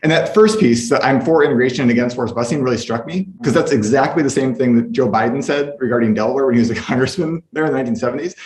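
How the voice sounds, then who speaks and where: A man's voice: medium pitch, fast talker, few pauses, a little mellow. A man speaking as a professor on a panel, giving explanatory, academic analysis. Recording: roomy, echoing sound.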